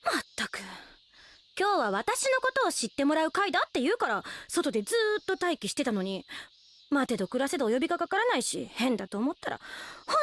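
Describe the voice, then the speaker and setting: An eighteen-year-old genius girl in an anime radio drama. Her voice is extremely high and very expressive. She is proud and haughty, with a scolding edge and a ready retort. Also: quick pace. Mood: exasperated.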